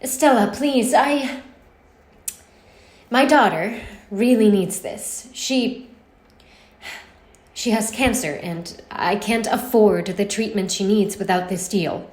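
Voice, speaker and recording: A kind, pressured woman boss, as a female story reader plays her. She speaks earnestly and with some hesitation at a middling pitch. The recording is echoey. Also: slow pace, long pauses, a little mellow, natural voice. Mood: pleading. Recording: roomy, echoing space.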